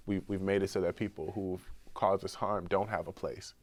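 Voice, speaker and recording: Deep-voiced man with a cold. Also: fairly even intonation, normal speed. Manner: earnest, repeats words while searching for them. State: has a cold, sniffling. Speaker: guest researcher. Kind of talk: podcast conversation.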